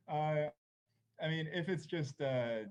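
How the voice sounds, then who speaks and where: A man's voice: on the high side, takes it slowly, clean tone. Hesitant, thoughtful man on a panel. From Korea. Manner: thoughtful, hesitant, many fillers and soft laughs. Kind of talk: reflective panel conversation.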